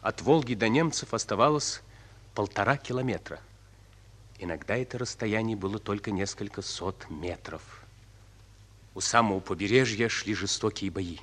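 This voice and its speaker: An actor narrating a wartime radio drama as a grave storyteller, in a solemn, deliberate, dramatic manner. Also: male voice, on the low side, a bit bright.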